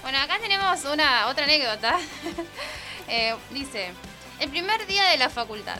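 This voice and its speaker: A young adult woman with an Argentine accent and a slightly shrill voice, chatting casually as a radio co-host relaying messages from listeners. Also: big pitch swings, moderate pace, bright, forceful tone. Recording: music playing behind the voice. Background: music.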